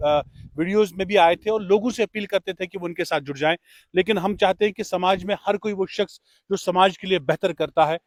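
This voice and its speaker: A man's voice: high-pitched, no echo. A man speaking formally and courteously as a radio host giving a tribute and interview on location. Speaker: radio host presenting an appreciation award.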